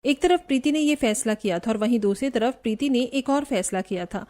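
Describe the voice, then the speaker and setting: A woman's voice: a little high, expressive, a little fast, darkish tone. A woman reading scripted narration for a family cartoon story.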